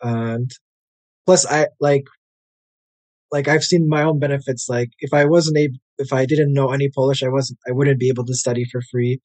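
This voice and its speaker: A man's voice: medium pitch, moderate pace, no echo. American man, easygoing chat, dry close sound. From United States. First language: English. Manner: relaxed and chatty, many "like" and "uh" fillers. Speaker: guest, English language coach. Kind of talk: casual interview conversation.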